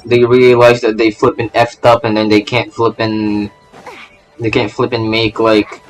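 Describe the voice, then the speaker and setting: A man's voice: lowish voice, flat delivery, measured pace, faint music behind, rough recording. A man doing casual live game commentary, rambling and stammering as he repeats his phrases. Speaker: VTuber game streamer.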